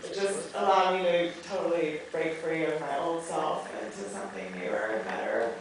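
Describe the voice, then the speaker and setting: A woman's voice: low voice, moderate pace. A woman from a film giving an earnest, unscripted personal reflection at an audience question session. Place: movie theater stage Q&A. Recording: echoey theater, distant mic, faint noise.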